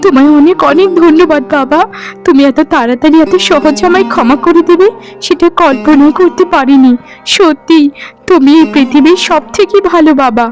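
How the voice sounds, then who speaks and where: A woman's voice: sits high, normal speed, a little mellow, lightly acted. A woman voicing an emotional village woman in a family cartoon, pleading in a melodramatic way. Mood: grateful. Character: emotional, remorseful village women of one family. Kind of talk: Bengali cartoon voice acting. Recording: music behind the voice.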